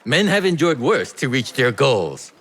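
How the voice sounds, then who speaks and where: An actor playing a sly, theatrical merchant and smuggler with a teasing, playful manner in a video game. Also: male voice, mid-range voice, very animated, unhurried, fairly bright tone, breathy edge, heavily acted. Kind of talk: video game cutscene acting. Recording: music behind the voice.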